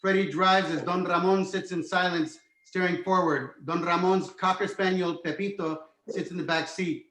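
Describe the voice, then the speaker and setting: A man's voice: sits high, steady tone, moderate pace, hardly any pauses, a bit bright. A man narrating a screenplay's stage directions as scripted reading, recorded in an echoey room.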